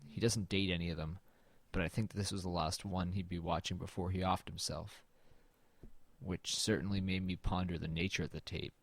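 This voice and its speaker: A man playing an uneasy narrator in a horror audio drama, telling it in the first person in a measured, brooding way. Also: deep voice, steady tone, vocal fry. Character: uneasy male narrator exploring a dead man's house.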